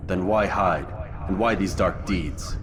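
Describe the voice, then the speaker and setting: An actor playing a calm, stern young assassin in a video game, grave and restrained. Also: male voice, sits low, slow. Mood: stern, questioning. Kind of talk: dramatic video game acting. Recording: noisy ambience behind game dialogue.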